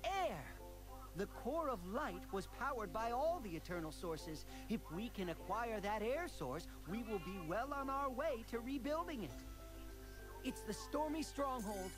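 An actor playing an earnest, fussy, bookish little male creature in a cartoon video game, excitable and explanatory. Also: very high voice, measured pace, over music, poor audio. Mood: excited explaining.

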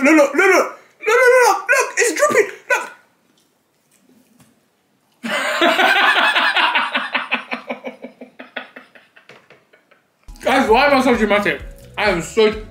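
A man's voice: high voice, pushed and bright, reverberant. A young man, chatting dramatically and playfully in a food vlog while eating at a table. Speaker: vlogger. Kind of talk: mukbang vlog chat. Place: small indoor studio set at a table.

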